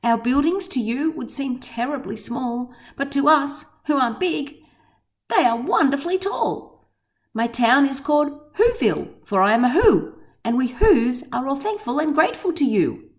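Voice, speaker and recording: A woman's voice: high voice, big pitch swings, normal speed. A woman doing a tiny, cheerful mayor. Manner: cheerful, grateful. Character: tiny, friendly male town mayor.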